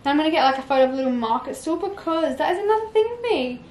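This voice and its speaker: A young woman in her twenties talks casually to the camera from a sunny home patio, her voice sitting a little high. She sounds encouraging and chatty, peppering her advice with "like" and "you guys". A faint outdoor hum sits behind her. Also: expressive, very fast, a little mellow. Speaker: fitness vlogger. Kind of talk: casual vlog chat to camera.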